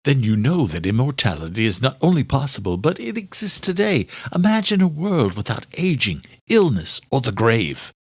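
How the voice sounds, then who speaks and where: Casting: male narrator as older male researcher, proud and obsessive, lively intonation, emphatic lecturing delivery, close dry sound. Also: mid-range voice, moderate pace, hardly any pauses, breathy edge, no echo, heavily acted. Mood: urgent, lecturing. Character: obsessed, aging male scientist, proud and insistent. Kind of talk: audiobook character dialogue.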